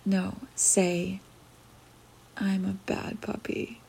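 A woman voicing a dominant, teasing young woman in a whispered ASMR roleplay, speaking in a breathy whisper with a slow, commanding manner. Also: medium pitch, monotone, a little mellow, slight background noise, low-quality recording.

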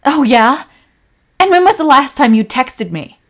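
A woman's voice: mid-range voice, measured pace, vocal fry, husky. A woman acting a hurt, loving girlfriend in a romantic audio roleplay, speaking emotionally and directly to her partner. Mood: hurt, accusing. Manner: emotional, swinging from sharp reproach to tender whispers. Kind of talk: scripted romantic audio roleplay, addressing the listener.

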